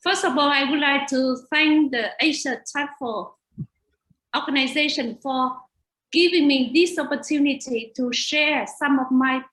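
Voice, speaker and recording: A woman's voice: sits high, a little slow, fairly bright tone, roomy sound, low-quality recording. A woman from Vietnam with a Vietnamese accent, a native Vietnamese speaker and teacher educator, giving an earnest webinar slide presentation over a video call.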